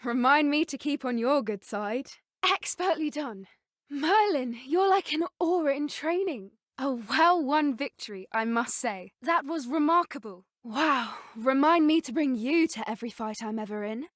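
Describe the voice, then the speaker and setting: A woman voicing an earnest, gentle schoolgirl witch in a video game, with a British accent and polite, well-spoken delivery. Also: high-pitched, animated, moderate pace, dry recording, clean studio sound. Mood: admiring, excited. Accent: British English. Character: earnest, gentle schoolgirl witch who loves magical beasts. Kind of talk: scripted video game voice acting.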